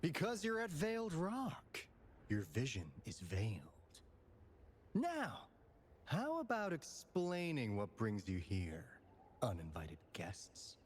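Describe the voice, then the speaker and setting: A man's voice: mid-range voice, huge pitch swings, takes it slowly, husky, full character voice. Flamboyant, swooping theatrical young man. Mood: teasing, cryptic. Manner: dramatic, effusive. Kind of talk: video game voice acting. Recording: music behind the voice. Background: loud game music.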